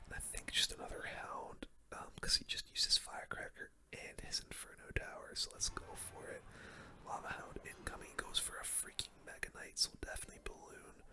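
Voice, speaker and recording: A gaming host doing casual ASMR commentary in a soft, breathy, unvoiced whisper, murmuring play-by-play with gamer slang close to the mic. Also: extremely high pitch.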